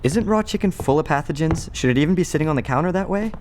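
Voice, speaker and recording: A man acting as a skeptical young roommate in a radio drama. His fairly high voice moves around a lot as he needles wryly, with faint noise behind. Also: lively intonation, fast talker, a little mellow, natural voice. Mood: teasing, skeptical. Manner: wry, needling. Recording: some background noise.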